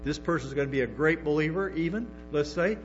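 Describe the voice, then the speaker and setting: A man's voice: on the high side, brisk. A man preaching a sermon to a congregation, stumbling through restarts and tossing in emphatic asides. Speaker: pastor. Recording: dull lo-fi sermon recording with music and hum.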